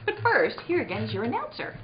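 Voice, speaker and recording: A woman's voice: on the high side, wildly swooping pitch, fast talker, a crowd in the background. A woman narrating a scripted 1940s-style radio serial in a theatrical manner. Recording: roomy, slightly dull, faint noise.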